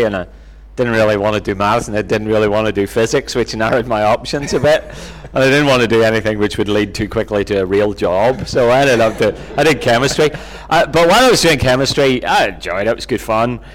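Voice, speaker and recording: Northern Irish man telling informal stories. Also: medium pitch. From Northern Ireland, near Belfast. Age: middle-aged. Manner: conversational, anecdotal, self-deprecating. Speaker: interview guest, Bible teacher. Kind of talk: informal personal storytelling.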